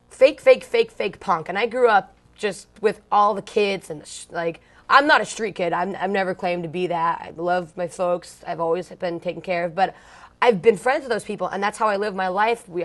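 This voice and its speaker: A young woman from Long Beach, California, talks through her musical past in a relaxed interview. Her speech is loose and chatty, packed with fillers and slang. She is blunt and drops the odd curse without a second thought. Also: medium pitch. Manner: blunt, slangy, swears casually. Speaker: punk band singer, interview guest. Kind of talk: casual storytelling interview.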